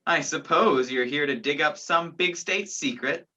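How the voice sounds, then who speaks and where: A young woman playing a sceptical, gallant gentleman in an amateur radio play, with a theatrical, incredulous manner. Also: low-pitched, measured pace, exaggerated voice. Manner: incredulous, theatrical, old-fashioned phrasing. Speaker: student actor in a school radio play. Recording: dull lo-fi home recording.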